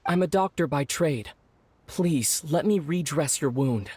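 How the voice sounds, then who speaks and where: Man, fairly high pitch, reading game dialogue, faint noise, clicks. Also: lively intonation, unhurried, darkish tone.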